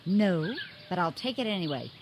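A woman's voice: fairly low pitch, expressive, moderate pace, background noise. A woman playing a rude, greedy young fairy-tale heroine in a comedic radio play, sassy and dismissive.

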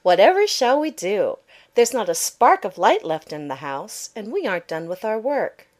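A woman's voice: mid-range voice, very animated, normal speed, close to an everyday voice. A woman playing a smug, manipulative young stepsister with a mock-innocent, bossy manner in a fairy tale audio drama.

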